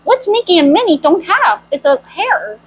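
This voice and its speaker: A woman with an extremely high, lively voice tells a story and chats in character. She plays at being a cartoon mouse girl, with a childlike, playful manner and animated swings in pitch. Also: normal speed, lo-fi sound. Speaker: storyteller in costume. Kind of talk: in-character storytime reading and chat.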